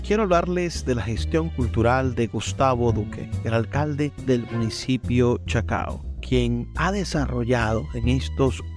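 A man's voice: mid-range voice, unhurried, few pauses. A man with a Venezuelan accent delivers a radio endorsement as a host. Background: music.

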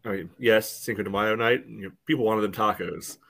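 A man's voice: on the low side, a little fast, creaky, crowd noise. A man co-hosting a casual sports podcast in a loose, meandering conversational way. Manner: loose, meandering, peppered with "you know". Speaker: podcast co-host.

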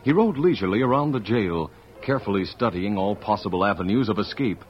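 A man's voice: fairly low pitch, animated, fast talker, close to an everyday voice. A man narrating an old-time radio western in a scripted, dramatic storytelling style. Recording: muffled, hissy vintage radio recording.

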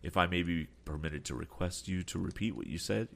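A man voicing a calm, polite older sea captain who keeps adding apologetic asides. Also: low voice, fairly quick, vocal fry, full character voice.

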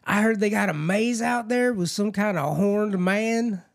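A man's voice: high voice, unhurried, vocal fry. A man putting on a brash, ignorant, drawling yokel who brags about things he gets wrong. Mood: boastful. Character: ignorant loud-mouthed American yokel man.

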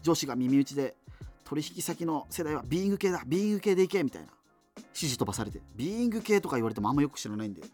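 An actor playing a wry, self-deprecating radio host in a doomed future, reminiscing in a digressive, hesitant conversational monologue. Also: male voice, fairly high pitch, sing-song, very fast, a little mellow, soft background music. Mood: wry, rueful.

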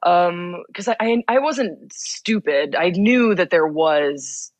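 A young adult guest who grew up in Belgium chats with steady mid pitch, tumbling through fillers and restarts in quick bursts. The voice is recorded close and dry, with no room sound. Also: dry recording. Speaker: podcast guest. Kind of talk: casual interview conversation.

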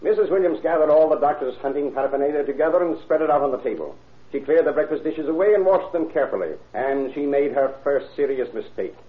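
An actor playing an earnest prosecutor summing up to a jury in methodical, formal oratory, in 1930s radio style. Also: male voice, fairly high pitch, quick pace, narrow, tinny audio, close to an everyday voice. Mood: measured, grave. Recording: band-limited old radio broadcast.

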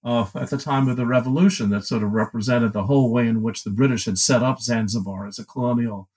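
A man with a somewhat husky, mid-pitched voice gives an academic interview answer. He talks in a halting, searching way, restarting phrases and filling pauses as he thinks. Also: a little mellow. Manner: halting, many restarts and fillers. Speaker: book editor answering questions.

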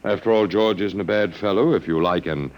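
An actor playing a sardonic, stubborn human prisoner with a dry wit in an old radio drama. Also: male voice, low-pitched, fairly quick, lightly acted, rough recording. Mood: sardonic, resigned. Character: wry, resigned, stubborn human prisoner of aliens. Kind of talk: 1950s radio drama acting.

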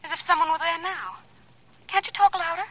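An actress playing a hurt, jealous sweetheart pleading on the telephone in a 1940s radio drama, plaintive and questioning. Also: female voice, very high-pitched, fairly quick, a crowd in the background. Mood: suspicious, hurt. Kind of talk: 1940s radio thriller acting. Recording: noisy old radio telephone scene.

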